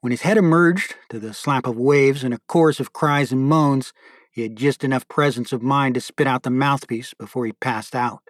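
A man's voice: mid-range voice, moderate pace, no room sound, studio quality. A man giving a scripted narration as an audiobook narrator and podcast host.

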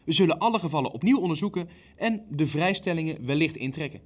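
An actor playing a ruthless, impatient Nazi official in a naturalistic audio drama, blunt and authoritative. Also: male voice, fairly high pitch, lively intonation, fast talker. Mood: cold, insistent. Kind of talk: Dutch audio drama acting, naturalistic meeting dialogue.

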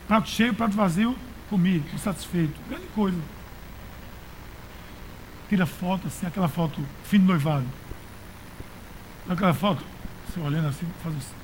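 High-voiced Brazilian man preaching. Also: moderate pace, long pauses, a little hiss or hum, poor audio. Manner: colloquial, exhorting, repeats phrases for emphasis. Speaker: pastor. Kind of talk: conversational sermon to a congregation.

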